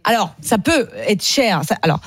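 A woman panelist from Savoie talks at length in a radio debate, pushing her point with quick fillers and restarts. Her voice sits at an average pitch. It is recorded dry and close, with no room echo. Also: animated, breathy edge. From France, Savoie. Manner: talkative, defends her view with many fillers.

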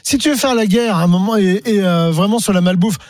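A man on a talk radio panel, joking and talking in quick bursts. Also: high-pitched, a little mellow, no echo, studio quality. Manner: joking, rapid-fire. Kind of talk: lively talk radio debate.

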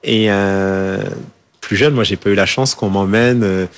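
Créateur de mode, voix assez grave, réponses d'interview hésitantes. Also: male voice, lowish voice, measured pace, a little hiss or hum. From France. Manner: hesitant, many fillers and restarts. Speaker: fashion designer and school founder. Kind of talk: interview answers.

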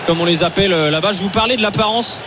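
A man's voice: sits high, very fast, a crowd in the background. A man giving live radio commentary on a football match, full of fillers and speeding up on attacks. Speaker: play-by-play commentator. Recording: noisy stadium radio broadcast.

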